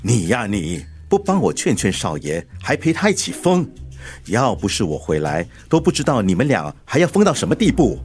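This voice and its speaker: A man's voice: mid-range voice, huge pitch swings, normal speed, a little mellow, faint music behind. An older man with a Singaporean accent acting in a radio drama as an anxious, loyal family chauffeur and fussy father who stammers when flustered. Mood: scolding.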